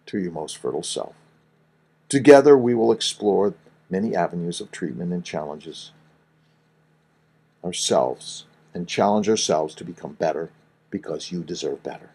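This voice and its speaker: A middle-aged man, a doctor, reading his own book aloud in a slow, soothing, earnest way, each phrase falling softly at its end. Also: sits low, animated, breathy edge.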